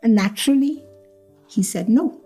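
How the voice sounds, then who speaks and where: A woman's voice: fairly high pitch, lively intonation, normal speed, mellow, slightly rough, faint music behind. An older woman born in Trinidad, telling her life story in a reflective way, repeating phrases and acting out what others said. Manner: reflective, repeats phrases, acts out quoted speech. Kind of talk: personal storytelling interview.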